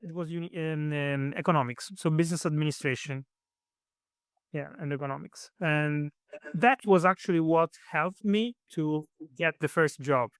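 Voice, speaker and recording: A man's voice: a little high, moderate pace, smooth, dry recording, clean studio sound. A man in his thirties from Italy with an Italian accent and Italian as his first language, answering interview questions casually with many fillers and restarts. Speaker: guest.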